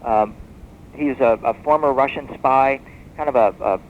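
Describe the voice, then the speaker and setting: A man speaking as an expert guest in a radio interview, explaining history as a story in a measured, discursive way. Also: mid-range voice. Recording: muffled noisy shortwave radio tape.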